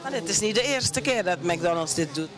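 A woman's voice: fairly low pitch, expressive, moderate pace. A woman from Suriname, a reporter, asking brief probing questions in a busy restaurant. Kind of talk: on-site interview questions. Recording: loud crowd behind.

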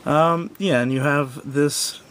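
A man's voice: steady tone, slow, fairly bright tone. A man giving a casual, unscripted hands-on product review in a hesitant, rambling, self-correcting way. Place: indoors at a wooden table. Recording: noisy home recording with low rumble.

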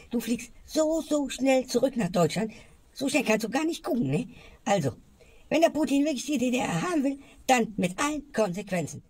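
A woman's voice: on the high side, a little mellow, slightly rough, no echo. A woman ranting in a comedy sketch and ending her lines with a questioning tag. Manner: grumbling, tags lines with "ne?". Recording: music bed behind voice. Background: music.

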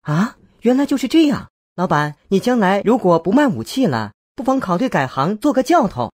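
A woman voicing a cheeky, quick-witted young soldier girl disguised as a man, in a cartoonish game. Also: mid-range voice, big pitch swings, darkish tone, breathy edge, no echo, studio quality. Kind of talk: cartoonish video game acting.

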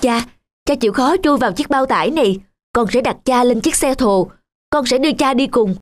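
A woman's voice: a little high, normal speed, a little hiss or hum, natural voice. A woman voicing a devoted young son in a cartoon folktale, speaking in an earnest, pleading, deferential way. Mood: pleading, determined.

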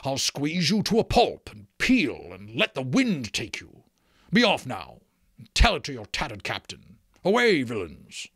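A man's voice: medium pitch, expressive, normal speed, no room sound, studio quality. A man voicing a fat, greedy, bullying town mayor in a storybook, with a pompous, complaining manner. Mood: threatening, angry.

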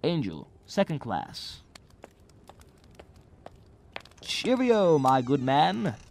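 A man playing a gentle, naive old angel in a radio drama, earnest, quaint and a little prim. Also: a little high, huge pitch swings, slow, gravelly, a little hiss or hum, heavily acted. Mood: gently insistent.

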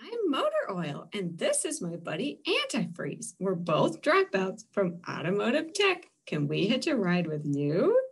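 A woman's voice: medium pitch, wildly swooping pitch, measured pace, hardly any pauses, slightly rough, exaggerated voice. A woman voicing a chatty, clingy pollutant character in a comic strip read-aloud. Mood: chummy. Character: chatty, clingy pollutant hitchhikers: motor oil and fertilizer.

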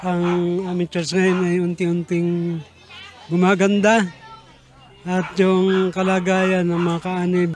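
An older man speaking slowly with pauses outdoors as a church elder. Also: high voice, darkish tone.

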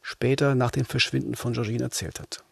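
A man's voice: medium pitch, level tone, fairly quick, creaky, music in the background. A middle-aged man co-hosting a crime podcast, telling a case in a conversational way. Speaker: crime podcast co-host, reporter. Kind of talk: conversational true-crime storytelling.

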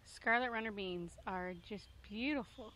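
Woman in her thirties, medium pitch, casual gardening vlogger, handheld phone, noisy outdoor backyard. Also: measured pace, pushed and bright. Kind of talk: casual garden tour narration. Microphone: handheld phone at arm's length.

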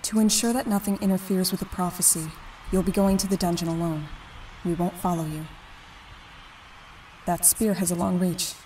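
A woman playing a stern, solemn merfolk ruler in a comic dub, speaking in a measured, speechmaking way. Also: on the low side, steady tone, warm tone, recorded from a distance, slight background noise, lightly acted, rough recording. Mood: grave, urging.

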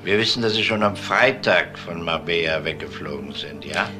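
An actor playing a probing, matter-of-fact police detective in a crime radio drama. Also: male voice, low-pitched, steady tone, moderate pace, over music, lightly acted. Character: male police detective, probing and blunt interrogator. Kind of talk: German TV-crime style radio drama acting.

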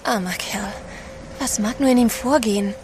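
An apprehensive, tender lady adventurer in an audio drama, played by a woman. Her voice is on the high side, amid noisy ship ambience. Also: moderate pace, lightly acted. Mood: concerned. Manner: apprehensive, gentle. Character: anxious, tender female companion on expedition.

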